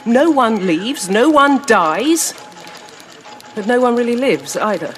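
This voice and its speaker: A fatalistic, ailing soldier who talks very slowly and heavily. Faint music plays under the audio drama scene. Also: medium pitch, expressive, fairly bright tone. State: ill, coughing. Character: weary sick soldier, fatalistic.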